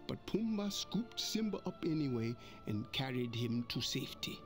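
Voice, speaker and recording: Man reading a kids storybook, slow and lively, music behind. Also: medium pitch. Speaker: narrator. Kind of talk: storybook narration.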